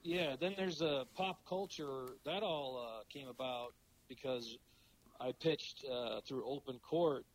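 A middle-aged man with a cold and a raspy voice, talking as a podcast guest in a halting way with many fillers. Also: medium pitch, a little hiss or hum, low-quality recording. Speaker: podcast guest, philosophy professor. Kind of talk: casual interview talk.

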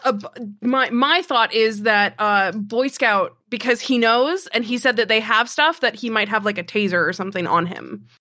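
A woman playing a tabletop game, chatting casually and thinking aloud in a halting way. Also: a little high, bright, forceful tone, no room sound, clean studio sound.

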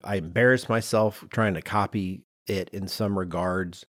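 A middle-aged man co-hosting a podcast in a conversational, animated and emphatic way, with some self-deprecation. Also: deep voice, measured pace, no echo, studio quality. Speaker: podcast co-host.

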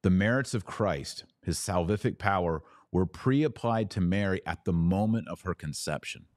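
Male podcast teacher, deep register, very dry recording, didactic and emphatic, answering listeners with weighted key words. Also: moderate pace, a little mellow, dry recording. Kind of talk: live theological teaching and viewer Q&A.

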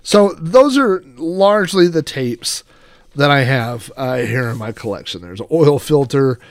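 A man's voice: medium pitch, animated, measured pace, no echo, clean studio sound. A middle-aged American man hosting a casual show-and-tell, reminiscing in a rambling, anecdotal way. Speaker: host of a personal collection show.

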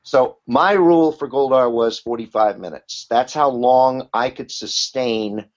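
A man's voice: medium pitch, measured pace. A middle-aged or older man who works as a voice actor, telling candid, rambling stories as a guest in a casual interview. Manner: chatty storytelling, candid, rambling with restarts. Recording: muffled lo-fi audio.

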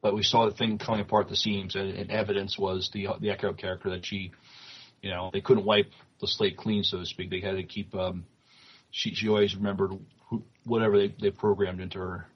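A man with a husky, fairly low voice co-hosts a podcast. He chats casually and reads news haltingly, often repeating or stumbling on words. The audio is slightly dull and lo-fi. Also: level tone. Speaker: podcast co-host.

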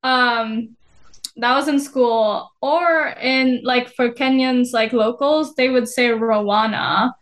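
A soft-spoken woman from the Philippines talks as a podcast guest in an easygoing, chatty way. Her voice sits a little high and never gets loud. She fills her sentences with small hesitations. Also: slow pace. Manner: relaxed, chatty, many fillers. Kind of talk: casual conversation.

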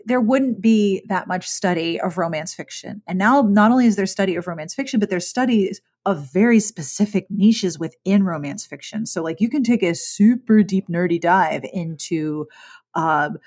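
A woman's voice: fairly low pitch, moderate pace, darkish tone. A woman hosting a podcast interview, chatting enthusiastically and poking fun at herself. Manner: enthusiastic, self-deprecating, chatty. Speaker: podcast host. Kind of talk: conversational interview.

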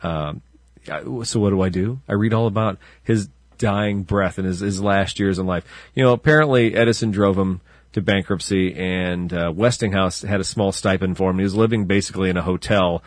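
Male co-host, low-ish voice, dry and close, rambling and chuckling. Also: moderate pace, no echo. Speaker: podcast co-host. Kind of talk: casual banter.

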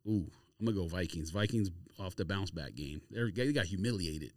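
A man's voice: low-pitched, brisk, darkish tone, dry recording, studio quality. A man hosting a casual sports podcast, talking in a blunt, opinionated, slangy way. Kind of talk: casual sports banter and game picks. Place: small room or studio, in person.

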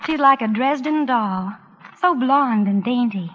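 A woman's voice: on the high side, lively intonation, unhurried. A woman in a scripted 1940s radio advertisement, speaking in a gushing, admiring way. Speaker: guest in a sponsor's advertising segment. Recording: muffled, faintly noisy vintage radio broadcast.

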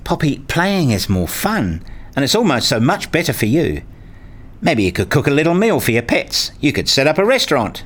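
Casting: male actor as tired, exasperated husband and dad for radio drama, explanatory and placating, very animated pitch. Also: moderate pace. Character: weary, exasperated husband and father, narrating his domestic life. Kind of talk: radio play dialogue and first-person narration.

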